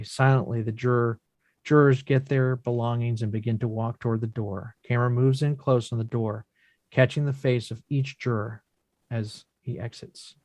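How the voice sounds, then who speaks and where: A man narrating scripted screen action in measured, deliberate short phrases as the reader of stage directions. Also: medium pitch, darkish tone, no echo.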